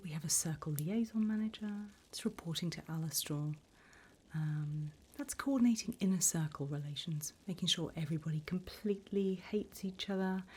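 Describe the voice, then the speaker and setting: A woman voicing a politely bureaucratic, wickedly encouraging demon recruiter in a breathy, unhurried ASMR whisper. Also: sits low, darkish tone. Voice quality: breathy whisper, mostly unvoiced. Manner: unhurried, polite, dryly businesslike about torment.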